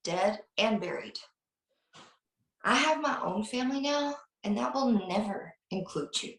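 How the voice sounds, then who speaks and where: A woman's voice: a little high, lively intonation, measured pace, a bit bright. A woman playing a cold, enraged mother disowning her son, bitter and menacing, at a script table read. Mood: cold rage. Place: remote video call rehearsal. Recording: roomy, distant video call microphone.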